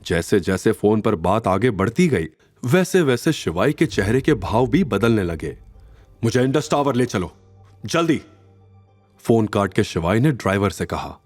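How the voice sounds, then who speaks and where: A male narrator with a low, husky voice tells a dramatic story. His delivery is highly animated, set over a music bed. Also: moderate pace, no room sound.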